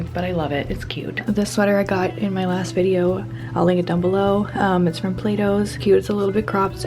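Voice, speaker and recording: A young woman in her twenties chatting casually in a vlog, hoarse as she is losing her voice, soft-spoken and full of "like" fillers. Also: mid-range voice. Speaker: vlogger and teacher.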